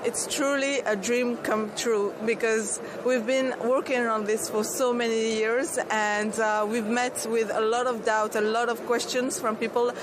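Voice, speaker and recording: A woman's voice: fairly high pitch, flat delivery, unhurried, few pauses. A woman in her thirties from Tunisia, a startup founder answering interview questions earnestly, with many restarts and fillers. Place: outdoor expo stand. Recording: clear street noise behind.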